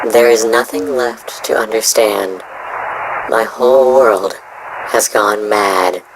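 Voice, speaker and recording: Actor doing a sad lonely space drifter reading poetic game logs, slow n halting, mid pitch, lots of noise on it. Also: close to an everyday voice. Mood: wistful, dazed. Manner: slow, solemn, halting delivery in short broken phrases. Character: lonely, melancholic space drifter mourning a lost love. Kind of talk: poetic audio log monologue from a space game.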